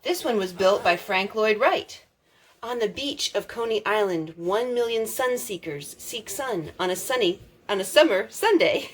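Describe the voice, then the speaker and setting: A woman reading a picture book aloud as a storytime host, expressive, drawing out words for emphasis. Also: mid-range voice, a little slow. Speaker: storytime reader.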